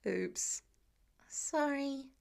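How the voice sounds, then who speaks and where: A woman's voice: high-pitched, animated, drawn-out words, creaky, darkish tone, exaggerated voice. A woman voicing an eager, sheepish teenage boy superhero in a storybook reading, casual and hesitant.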